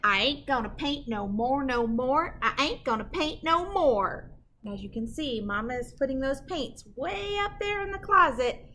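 High, lively woman reading to children. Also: moderate pace. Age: middle-aged. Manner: animated, dramatic emphasis, sing-song rhyming delivery. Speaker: school librarian reading picture books aloud. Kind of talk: expressive children's read-aloud. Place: indoor library with bookshelves.

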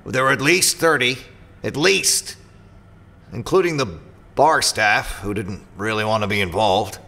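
An actor playing a boastful, touchy freelance mercenary in a science-fiction audio drama, speaking in a blunt, defensive way. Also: male voice, on the low side, measured pace, gravelly, sharp tone, full character voice.

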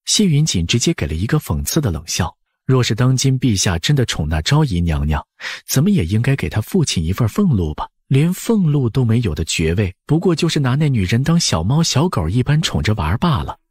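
A man's voice: mid-range voice, sing-song, fairly quick, darkish tone, husky, no echo. A man narrating a novel as an audiobook, scripted and dramatic.

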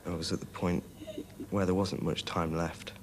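A man's voice: low voice, little pitch movement, normal speed. A young man reflecting on his own experience in an interview. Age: young adult. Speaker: interviewee, rescued caver. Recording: clear background noise.

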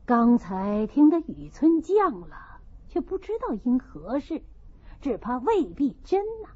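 A deferential male steward voiced by a woman narrator in a storybook. He advises earnestly at a slow, measured pace. The recording is slightly dull. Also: high-pitched, sing-song, breathy edge, exaggerated voice. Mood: cautious. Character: Lin Zhixiao, cautious, deferential male household steward. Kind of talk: audiobook character reading of a classical novel. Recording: dull lo-fi audio.

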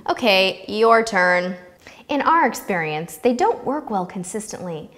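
A woman's voice: mid-range voice, very animated, measured pace, a bit bright, long reverb tail. An American woman in her thirties presenting scripted tips to camera in a light-hearted, playful way on a lapel mic. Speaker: host of a travel tips video series.

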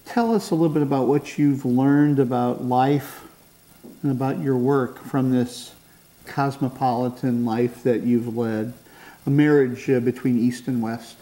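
A man in his sixties speaks as an interview host. His tone is dark and relaxed. He delivers introductions and questions in measured phrases. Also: medium pitch, poor audio. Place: living room of a house.

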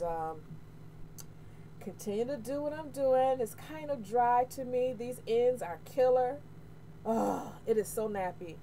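A middle-aged American woman chatting casually to camera, candid and expressive, repeating phrases for emphasis. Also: fairly high pitch. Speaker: hair vlogger. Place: small room at home, indoors. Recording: noisy home webcam audio.

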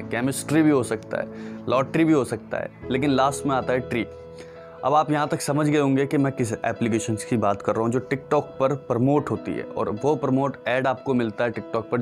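Young male tech vlogger, earnest and repetitive, mid-pitched, faint guitar bed behind, camera-mic sound in a dark room. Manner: earnest, repetitive, addresses viewers as friends and brother. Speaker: tech channel host. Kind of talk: direct-to-camera awareness vlog.

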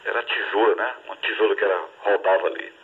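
Fast raspy man over radio. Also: on the low side, fairly even intonation. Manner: fast, opinionated, conversational. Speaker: ham radio operator. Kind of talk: casual chat over two-way radio. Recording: tinny radio transmission.

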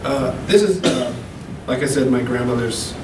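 Man reading poems in an echoey room. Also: medium pitch. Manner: slow, deliberate reading with casual asides. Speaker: open mic poet. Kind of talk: poetry reading. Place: small room at a podium. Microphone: handheld mic. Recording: echoey room, camera from far off, hum.

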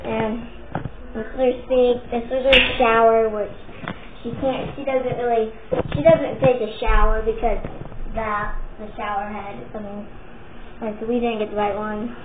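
A woman's voice: a little high, little pitch movement, unhurried. A young girl giving a rambling, chatty tour of her family home, filmed on a handheld phone. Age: child. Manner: chatty, rambling, restarts sentences. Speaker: vlogger giving a house tour. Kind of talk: casual house tour. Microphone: handheld phone camera. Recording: tinny phone audio with loud noise.

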